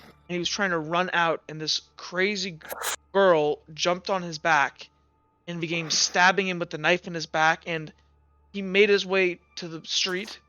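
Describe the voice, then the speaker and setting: A man voicing a skeptical, methodical female commander who questions a witness in a halting, matter-of-fact way for a tabletop game. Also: fairly high pitch, sharp tone, no echo, dull audio.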